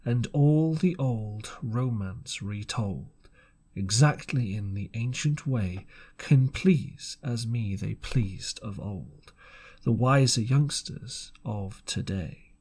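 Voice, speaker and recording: Slow-paced man reading a storybook. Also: lowish voice, darkish tone, slightly rough. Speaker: audiobook narrator. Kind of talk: scripted audiobook narration.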